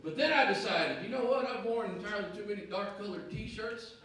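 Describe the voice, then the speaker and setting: A man's voice: high-pitched, fairly quick. Brash Southern man doing stand-up. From American South. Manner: brash, crude, self-mocking, punchy. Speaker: stand-up comedian. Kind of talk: stand-up comedy set. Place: comedy club stage. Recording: echoey club, distant mic, loud crowd. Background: audience laughter and noise.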